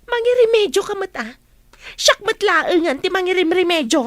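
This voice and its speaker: A woman's voice: very high voice, expressive, moderate pace, no room sound. A woman playing a haughty, scolding wife in an Ilocano radio comedy drama, with an Ilocano accent. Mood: irritated. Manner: sharp, scolding, demanding. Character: haughty, bossy, quarrelsome wife of the house.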